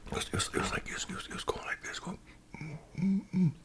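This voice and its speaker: A man with a Canadian accent speaks in a breathy whisper, chatting casually and unhurriedly in ASMR style as host. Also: sits high, steady tone, racing, pushed and bright. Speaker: ASMR video creator and host.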